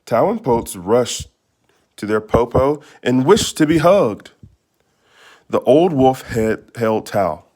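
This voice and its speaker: A man reading a children's storybook aloud in expressive narration. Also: medium pitch, slow. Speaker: storybook reader.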